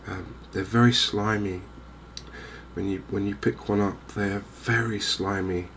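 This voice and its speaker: English man, deep gravelly voice, soft ASMR gaming commentary, slow halting delivery, clear background ambience. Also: fairly even intonation, a little hiss or hum. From England. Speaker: gaming video host.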